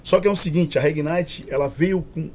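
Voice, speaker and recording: A man's voice: a little high, a little fast, darkish tone, a little hiss or hum. A man with a Santos Brazilian Portuguese accent, a nightclub promoter talking casually and animatedly, repeating his phrases. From Baixada Santista, Brazil. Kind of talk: casual podcast chat.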